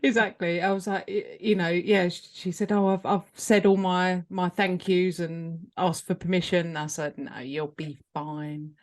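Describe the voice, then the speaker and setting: A woman co-hosting a podcast, chatting casually and easygoing with short, quick reactions. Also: lowish voice, steady tone. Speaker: podcast co-host.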